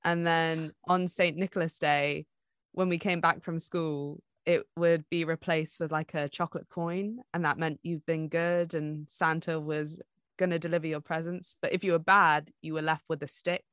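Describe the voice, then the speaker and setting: Woman, low-pitched, dry sound, casual podcast co-host, chatty informal storyteller. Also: flat delivery, normal speed, a bit bright, clean tone, dry recording. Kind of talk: casual book podcast chat.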